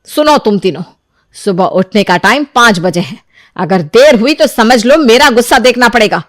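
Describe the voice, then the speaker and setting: A stern, bossy mother-in-law from a cartoon story, played by a woman. She scolds her daughters-in-law in a harsh, commanding tone, her voice a touch high. The recording is dry and close. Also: expressive, normal speed, fairly bright tone, no room sound. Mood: scolding. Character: strict domineering older mother-in-law. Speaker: cartoon voice actor. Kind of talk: Hindi cartoon dubbing.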